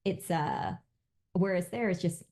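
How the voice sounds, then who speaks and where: A woman's voice: lowish voice, unhurried, warm tone. An American woman speaking as an interview guest, reflecting and explaining in a thoughtful, conversational way. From United States, born in Vietnam. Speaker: podcast guest, business founder and CEO.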